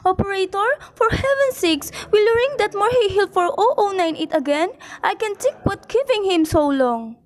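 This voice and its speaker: A woman's voice: extremely high pitch, expressive, moderate pace, hardly any pauses, pitched above the performer's own voice. A woman voicing a nervous, demanding, self-pitying invalid wife in an amateur radio play, fretful and imperious. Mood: impatient.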